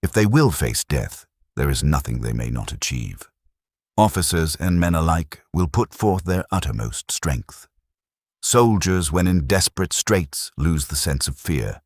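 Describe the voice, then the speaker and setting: A man narrating an audiobook of a classic treatise in measured, deliberate phrases. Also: rumbling low, expressive, no room sound, clean studio sound. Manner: measured, declarative delivery in short deliberate phrases.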